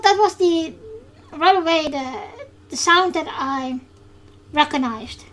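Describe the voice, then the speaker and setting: A woman with a Dutch accent hosting a solo podcast recap, speaking haltingly with frequent pauses. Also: high voice, fairly bright tone, slight background noise, poor audio. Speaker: podcast host.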